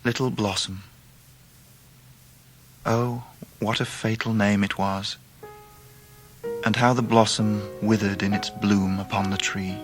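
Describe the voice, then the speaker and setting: An actor playing a tender, earnest Victorian English gentleman who speaks in a gentle, measured, literary way in a period radio drama. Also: fairly low pitch, level tone, soft background music. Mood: wistful, sorrowful. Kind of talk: period radio drama acting and first-person narration.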